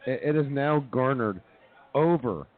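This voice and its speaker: A man's voice: medium pitch, animated, unhurried. A man co-hosting a comedy radio show in casual discussion, speaking emphatically and repeating phrases for effect. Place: live show at a brewing venue. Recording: phone-like thin audio with crowd chatter. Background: crowd chatter.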